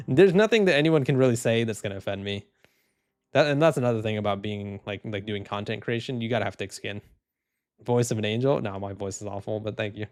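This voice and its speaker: A man streaming casually, reading chat comments in a low, even, unhurried voice with lots of fillers and restarts. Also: soft background music. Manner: laid-back, rambling, many fillers and restarts.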